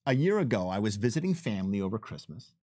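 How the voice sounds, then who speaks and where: A man, a game developer, telling stories in an emphatic, animated way in a casual unscripted livestream chat. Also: fairly low pitch, quick pace, no echo, clean studio sound. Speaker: game developer giving commentary.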